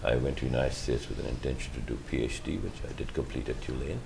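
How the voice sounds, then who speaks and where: A middle-aged man speaks in an extremely low, rough voice full of gravelly fry. He answers slowly and carefully as a guest, pausing on fillers and restarting his sentences. A faint hiss sits under the recording. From Pakistan. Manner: halting, measured, many fillers and restarts. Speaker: guest, physician. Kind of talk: interview answers.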